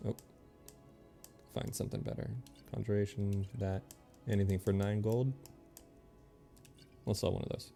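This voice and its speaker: A man streaming a video game, thinking aloud in easygoing casual chat and reading game text out loud. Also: on the low side, flat delivery, unhurried, vocal fry, pauses often, faint music behind.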